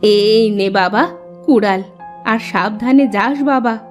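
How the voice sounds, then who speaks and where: A woman's voice: medium pitch, takes it slowly, soft background music, lightly acted. A woman voicing a worried, loving village mother in a cartoon, tender and anxious in manner. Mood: resigned, caring.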